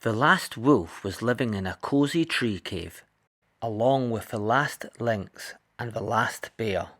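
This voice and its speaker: A man narrating a children's picture book in an expressive read-aloud style. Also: lowish voice, slow pace. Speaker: storybook reader.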